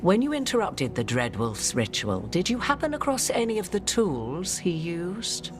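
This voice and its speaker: An actress playing an arch, enigmatic sorceress in a video game, with haughty, theatrical, archaic phrasing. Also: female voice, on the low side, big pitch swings, normal speed, music in the background. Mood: grave, advising.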